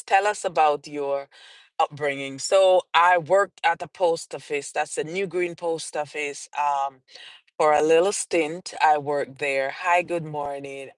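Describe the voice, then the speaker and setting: A woman's voice: low-pitched, no room sound. A Jamaican woman author answering viewer questions on a casual livestream, repeating phrases as she goes and greeting viewers. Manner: slow and unhurried, repeats and restarts phrases, greets live viewers.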